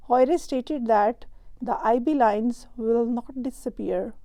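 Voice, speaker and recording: A woman host from Pakistan, reading a prepared explainer in a measured, even way. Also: on the high side, dry recording. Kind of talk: scripted explainer narration.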